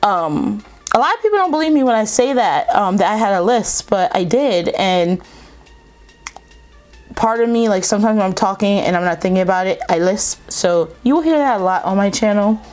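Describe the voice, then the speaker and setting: A woman's voice: medium pitch, lively intonation, normal speed, darkish tone. Chatty young woman with a slight lisp. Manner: chatty, rambling, lots of fillers. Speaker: beauty vlogger. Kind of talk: casual makeup tutorial chat.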